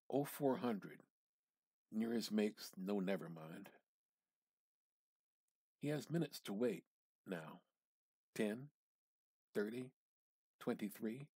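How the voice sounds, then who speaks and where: A man giving a measured, theatrical reading of a literary short story, drawing out words and pausing for effect. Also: on the low side, a little mellow, breathy edge, dry recording. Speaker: amateur reader practicing dramatic reading.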